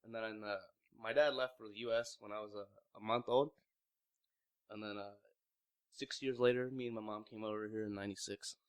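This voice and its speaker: A man's voice: fairly low pitch, fairly even intonation, brisk, clean tone. A man in his mid twenties from Vietnam, telling his story in a casual interview with lots of fillers and restarts. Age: mid 20s. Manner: rambling, heavy use of "like", frequent restarts. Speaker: interviewee, young clothing brand founder.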